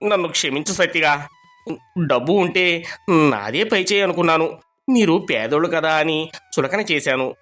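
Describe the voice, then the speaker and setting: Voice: mid-range voice, animated, normal speed, long pauses, a bit bright, lightly acted. Proud, haughty older village rich man played by an actor, cartoon moral tale, swooping delivery, faint music. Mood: remorseful. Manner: haughty, exclamatory, dramatic. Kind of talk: animated moral story cartoon acting.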